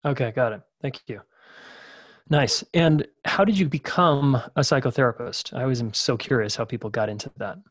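A man's voice: mid-range voice, normal speed, dry recording, studio quality. A man hosting a podcast interview in a casual, informal way, with short acknowledgements and questions.

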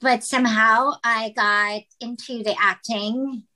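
A woman from the Philippines talks unhurriedly as an interview guest, often pausing and restarting her thoughts. Her voice is bright and slightly high. She has a relaxed, conversational delivery. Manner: hesitant, many fillers and restarts. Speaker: guest, writer and actress.

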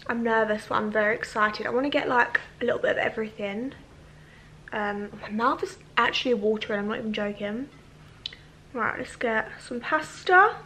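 Bubbly high-voiced young woman vlogging. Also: slight background noise. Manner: chatty and excitable, gushing with frequent fillers. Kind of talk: casual cooking vlog. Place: home kitchen and living room, indoors.

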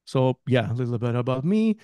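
Mellow-voiced man on a call. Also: medium pitch, big pitch swings, brisk, clean tone, no room sound. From Greece. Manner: friendly, rambling, laughs between phrases. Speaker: guest trainer. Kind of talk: casual webinar interview. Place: home room, on a video call. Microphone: headset with desk mic.

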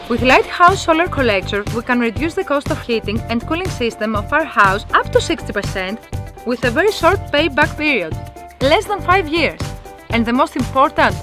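A young woman delivers a carefully read, earnest sales pitch in a high, light voice. Faint music plays softly beneath her. She sounds upbeat throughout. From Greece. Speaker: company presenter. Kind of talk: scripted promotional pitch. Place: indoor office with bookshelves.

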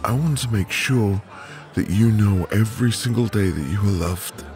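A man playing a tender, devoted newlywed husband in a soft, intimate, romantic ASMR roleplay with lingering pauses. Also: on the low side, lightly acted. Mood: tender, happy. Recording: music behind the voice. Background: music.

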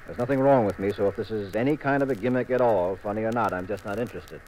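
A wry male detective played by an actor in an old radio mystery. He is dry and unimpressed, with a lowish voice, on a faintly noisy vintage recording. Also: quick pace, darkish tone, lightly acted. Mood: wry, wary. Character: wry, skeptical husband detective. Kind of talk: 1950s radio drama acting.

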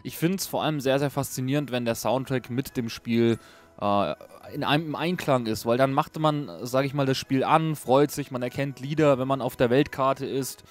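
A man's voice: mid-range voice, normal speed. A man hosting a casual, unscripted video game review in a chatty, informal way. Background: music under a voice-over.

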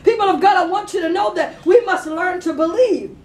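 Female preacher, very high voice, fervent and repetitive, emphatic delivery, recorded in an echoey room away from the mic. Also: a little fast, distant mic. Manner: emphatic, repetitive exhorting, rising to shouts. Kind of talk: fervent Pentecostal-style sermon with call and response.

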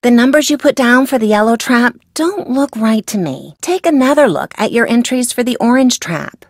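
Game role: easygoing woman researcher, husky, fry-laden, helpful. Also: fairly high pitch, expressive, normal speed, no echo, studio quality. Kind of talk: video game character acting.

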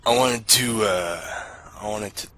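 A man playing a crude, irreverent fighting priest in a fan parody anime dub, blunt and deadpan. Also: fairly low pitch, measured pace. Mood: irritated. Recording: music behind the voice.

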